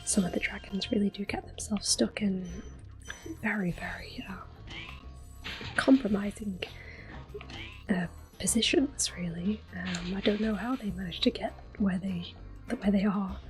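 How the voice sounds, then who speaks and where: A woman whispering and speaking softly as she plays through a video game, gentle and a little hesitant, pausing with ums. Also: medium pitch, rough recording. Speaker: ASMR gameplay creator. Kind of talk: soft-spoken ASMR gameplay commentary. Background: faint game music and controller clicks.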